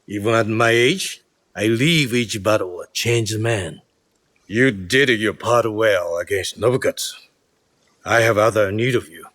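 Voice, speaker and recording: Older samurai lord, low register, measured and authoritative mentor, dry studio sound, game drama. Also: male voice, fairly bright tone, no room sound. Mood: grave, commanding. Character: aging, battle-hardened male samurai lord, grave and commanding. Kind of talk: video game cutscene acting.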